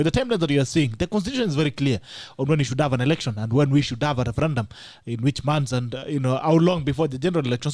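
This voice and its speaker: A man's voice: a little high, a little mellow, dry recording. A Kenyan man hosting a studio talk show, mixing English and Swahili, laughing often and reading out the call-in number.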